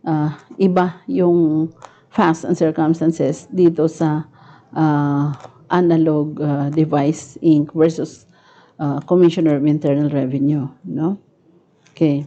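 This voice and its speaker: A woman's voice: low voice, level tone, slow pace, warm tone, dull audio. A woman with a Filipino accent giving a live online lecture in a conversational way, often adding a questioning tag to her phrases. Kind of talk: live online lecture, explaining and reading out court decisions.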